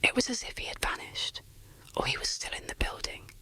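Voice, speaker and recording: Someone narrating an ASMR horror story in a whisper, with deliberate, dramatic delivery.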